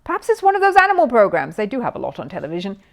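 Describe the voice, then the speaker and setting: A woman reader voicing a mild, practical mother in a children's story, soothing and gently managing. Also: a little high, huge pitch swings, racing. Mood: wondering.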